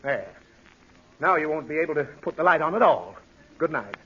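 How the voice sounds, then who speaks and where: A man's voice: fairly high pitch, expressive, moderate pace, bright, forceful tone. An actor playing an exasperated, sleep-deprived father in 1940s radio comedy, pleading, scolding and blustering as he gets flustered. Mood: weary, scolding. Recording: muffled, hissy old radio recording.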